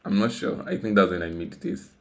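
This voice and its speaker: Casting: man, low-pitched voice, informal tech tutorial host who thinks aloud and repeats himself. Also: brisk, vocal fry. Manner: rambling, self-deprecating, repeats words and phrases. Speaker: tutorial host, software developer. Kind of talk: casual, unscripted coding screencast walkthrough.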